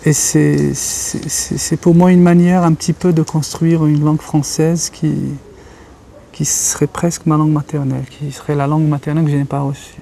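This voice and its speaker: Thoughtful man, mellow voice. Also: a little high, level tone, normal speed, creaky, a little hiss or hum. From Algeria. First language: Kabyle. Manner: thoughtful, searching for words. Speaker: interviewee, writer and journalist. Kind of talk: reflective interview talk.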